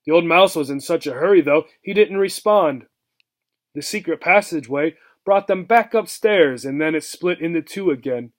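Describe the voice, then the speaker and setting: A man narrating a children's story aloud in an expressive read-aloud style. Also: a little high, normal speed. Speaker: reader, teacher.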